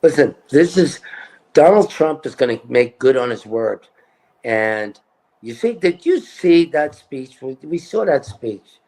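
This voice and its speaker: A man's voice: medium pitch, big pitch swings, a little slow, warm tone, rough recording. A man talking as a political candidate in a casual interview, emphatic and repeating his points.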